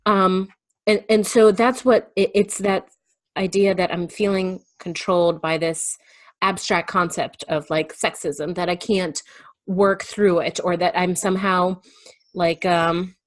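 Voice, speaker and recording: A woman speaking as an interview guest in a reflective, casual discussion, thinking aloud in a halting way. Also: lowish voice, little pitch movement, no room sound.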